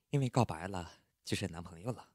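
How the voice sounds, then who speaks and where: A man's voice: low voice, a little mellow, no room sound, clean studio sound. A man acting a blunt, impatient yet teasing young man in a Chinese audio drama. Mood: soft, teasing. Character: curt, teasing young man, secretly fond of his roommate.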